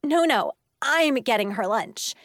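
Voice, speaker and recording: A woman's voice: a little high, expressive, unhurried, fairly bright tone, no echo, clean studio sound. A woman playing a needy, pushy schoolgirl in a children's audiobook, insistent and pleading.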